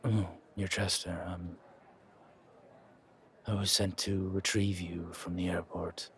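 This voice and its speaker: Man putting on a low, quiet, formal chauffeur. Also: flat delivery, takes it slowly. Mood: polite, reserved. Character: polite, subdued male chauffeur on an errand. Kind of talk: audio roleplay acting.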